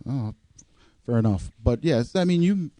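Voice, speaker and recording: A man's voice: mid-range voice, expressive, measured pace, mellow, no room sound. A man co-hosting a podcast, chatting loosely and joking, swearing casually as he talks. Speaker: podcast co-host.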